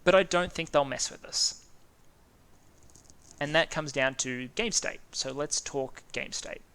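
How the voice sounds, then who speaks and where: A man's voice: a little high, a little slow, sharp tone, clean tone. A man with an Australian accent casually talking through a strategy game, thinking aloud and stumbling over names. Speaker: gaming video host.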